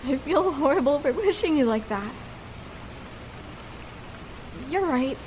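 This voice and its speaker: High-voiced, hesitant young escort, acted by a woman. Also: animated, rough recording. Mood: remorseful, comforting. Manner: hesitant, halting, soft-hearted. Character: shy first-time female escort, nervous, tender, vulnerable. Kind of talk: intimate ASMR roleplay acting. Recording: clear noise, rain sounds behind. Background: rain falling.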